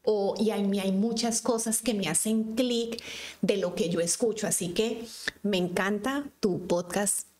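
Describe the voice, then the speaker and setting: A woman's voice: medium pitch, fairly even intonation, measured pace, darkish tone. Colombian woman in her fifties, chatty. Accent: Colombian, from Cali. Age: 50s. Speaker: guest, physician. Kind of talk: casual conversational interview.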